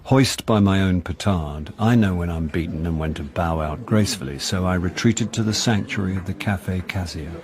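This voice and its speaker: An actor playing a rueful, theatrical middle-aged British actor turned amateur detective in a radio comedy-drama, wry and self-deprecating. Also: male voice, low-pitched, moderate pace, few pauses, a little mellow, natural voice. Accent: British English. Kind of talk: radio comedy-drama acting and first-person narration.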